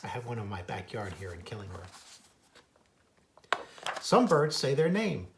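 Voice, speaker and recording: A man's voice: mid-range voice, very animated, unhurried, vocal fry, noisy background. An American man reading a children's book aloud as its author and naturalist, adding informal asides about wildlife. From United States. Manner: imitates bird calls in a singsong.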